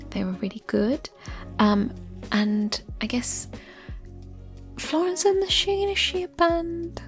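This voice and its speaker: A woman speaking in a soft, breathy, often near-whispered voice, chatting gently and ramblingly in an ASMR style. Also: high-pitched, very animated, slow. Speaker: ASMR creator answering listener questions. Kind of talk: soft-spoken ASMR chat. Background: music.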